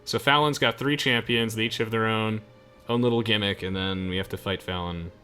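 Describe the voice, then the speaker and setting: A man's voice: fairly low pitch, steady tone, a little fast, pushed and bright, clear, resonant tone, soft background music. A young man giving casual video game commentary to friends as the host of a playthrough. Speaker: let's play host. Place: game voice chat with music behind.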